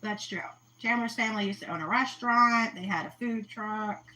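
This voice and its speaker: A woman's voice: mid-range voice, normal speed, bright, forceful tone, low-quality recording. A woman hosting a casual livestream, chatty, telling stories and laughing often. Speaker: host of an aquarium livestream. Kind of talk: casual chat.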